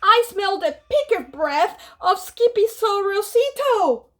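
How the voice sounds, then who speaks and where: A woman's voice: extremely high pitch, big pitch swings, slow pace, fairly bright tone, pitched above the performer's own voice, exaggerated voice. A woman voicing a grandly dramatic, easily scared dinosaur that shrieks and declaims in a storybook. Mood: horrified.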